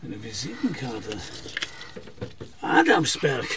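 Menacing gravelly older crime boss. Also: male voice, medium pitch, wildly swooping pitch, slow pace, fairly bright tone, slight background noise, heavily acted. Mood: sneering interrogation. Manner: commanding, sneering, pompous. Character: menacing older male killer, self-important criminal patriarch. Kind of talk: German radio drama acting.

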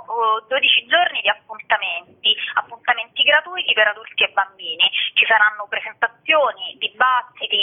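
A woman being interviewed as an event organiser, presenting a programme. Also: on the high side. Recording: tinny phone line.

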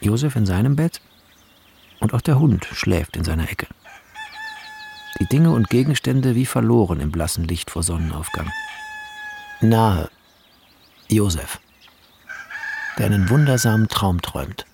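A man reading screenplay shot directions as solemn, measured scripted narration for a radio play. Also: on the low side, animated, creaky, darkish tone, a little hiss or hum. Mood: hushed, measured.

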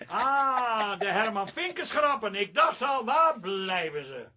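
An actor playing an exasperated, sarcastic middle-aged son-in-law from Twente in a radio drama, husky and declaiming. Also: male voice, high voice, very animated, slow, heavily acted. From Twente, eastern Netherlands.

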